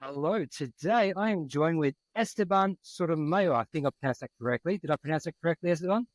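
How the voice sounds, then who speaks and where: A man's voice: fairly high pitch, expressive, fast talker. A man hosting a podcast, interviewing casually with an informal, teasing way of talking. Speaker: podcast host.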